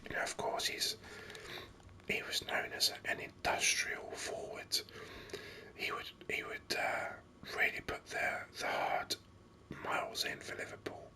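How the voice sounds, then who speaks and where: A gaming host speaking in an unvoiced whisper, giving unhurried, rambling ASMR game commentary. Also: pushed and bright.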